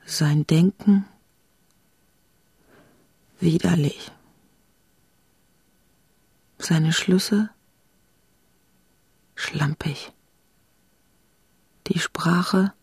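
A woman playing the brooding inner voice of a creature in a literary radio drama, deliberate and fragmentary. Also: low voice, darkish tone, slightly rough, dry recording, full character voice. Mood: disdainful. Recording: noticeable ambient noise behind voice.

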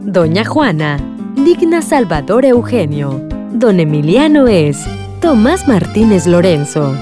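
A woman announcer reads scripted credits. Her pitch moves in a lively way at a somewhat slow pace, over clear music.

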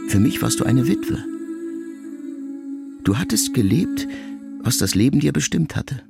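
A middle-aged actor playing a reflective grown son, a writer, who addresses his dying mother in a measured, confessional literary monologue for a radio play. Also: mid-range voice, huge pitch swings, creaky, full character voice. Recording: music behind the voice. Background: music.

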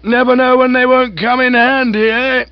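A man reading aloud voices a loud, hearty older aunt who talks bluntly and bossily. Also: very high voice, flat delivery, moderate pace, no echo, pitched above the performer's own voice. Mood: gleeful. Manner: loud, emphatic, bossy. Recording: dull, dry recording.

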